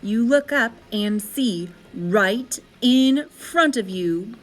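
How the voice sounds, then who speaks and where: A woman reading a children's story aloud as an expressive narrator, with deliberate, dramatic phrasing. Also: on the high side, faint music behind, low-quality recording.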